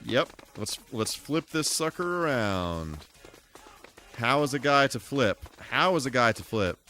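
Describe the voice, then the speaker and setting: A man's voice: mid-range voice, lively intonation, a little slow, sharp tone. A man giving casual live commentary while playing a video game, full of mild folksy exclamations and mock-exasperated asides. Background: music.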